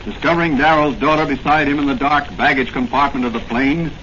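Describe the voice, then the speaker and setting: A man's voice: mid-range voice, fairly even intonation, fairly quick, fairly bright tone, natural voice. A man playing the dramatic narrator of a 1940s radio adventure serial, reading scripted narration. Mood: urgent, dramatic. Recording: muffled, very noisy old radio recording.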